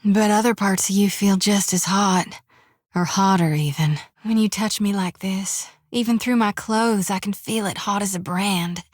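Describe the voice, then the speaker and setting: A woman's voice: mid-range voice, measured pace, no echo, studio quality, close to an everyday voice. An actress voicing a devout, earnest young woman priest who travels as a companion, speaking in a folksy drawl. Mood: intimate. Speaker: game companion character. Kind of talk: video game voice acting.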